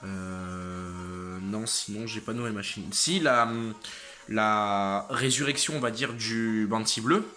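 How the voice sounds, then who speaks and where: Late-teen male vlogger, exhausted and a bit congested, with a tense, bright tone. Halting delivery that trails off and restarts. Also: lowish voice, faint music behind. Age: late teens. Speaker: motorcycle channel host. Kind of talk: casual vlog update to viewers.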